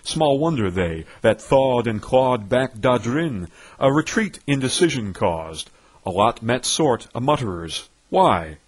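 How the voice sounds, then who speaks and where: Husky man declaiming verse, slow and pompous. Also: medium pitch, big pitch swings. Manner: pompous, declamatory, with dramatic emphasis. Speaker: poetry reader. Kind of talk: theatrical recitation of nonsense verse.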